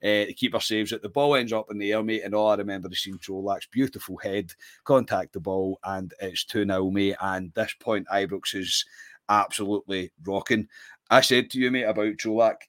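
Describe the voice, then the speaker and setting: Low-voiced Scottish man in football chat. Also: steady tone, moderate pace, a bit bright, dry recording. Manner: rambling, restarts often, calls co-host mate. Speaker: football podcast co-host. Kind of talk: casual post-match football chat.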